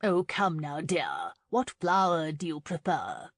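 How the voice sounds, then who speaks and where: A woman voicing an imperious, impatient elderly lady who briskly decrees things in an audiobook novel. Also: low-pitched, big pitch swings, no echo, clean studio sound, exaggerated voice. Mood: pressing.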